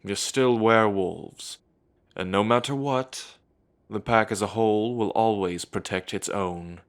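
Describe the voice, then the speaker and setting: A man's voice: lowish voice, a little slow. A man voicing an authoritative werewolf alpha elder. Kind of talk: amateur audio drama acting.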